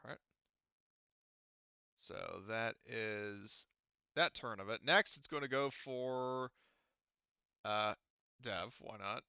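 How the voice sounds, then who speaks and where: A man running a tabletop roleplaying game as game master, casual and sweary, halting as he mutters through the rules. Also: fairly low pitch, vocal fry, sharp tone, clean tone, dry recording, highs cut off. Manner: halting, muttering to himself while checking rules.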